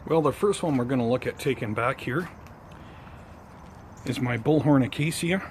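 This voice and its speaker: A man hosting a casual gardening vlog outdoors, talking informally to viewers in an unhurried, hesitant way. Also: medium pitch, slight background noise. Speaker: host of a bonsai gardening vlog.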